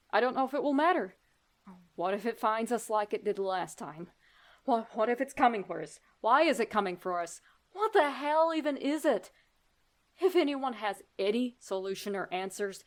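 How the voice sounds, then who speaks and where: A woman narrating a first-person horror story in an expressive, suspenseful way, with deliberate pauses. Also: medium pitch.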